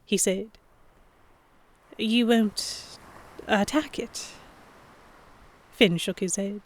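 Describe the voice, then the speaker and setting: A woman's voice: medium pitch, fairly even intonation, drawn-out words. A woman playing an anxious young noblewoman who narrates an audio drama in a fretful, tentative, introspective manner. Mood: tentative, awkward. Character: anxious young noblewoman heroine narrating her captivity among pirates. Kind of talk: first-person scripted narration in audio drama.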